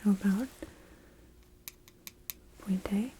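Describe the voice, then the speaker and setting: A woman playing a gentle, unhurried hospital nurse in an ASMR roleplay, mostly whispering soft, soothing, reassuring instructions to the listener as patient. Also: medium pitch, slight background noise. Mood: soothing, attentive.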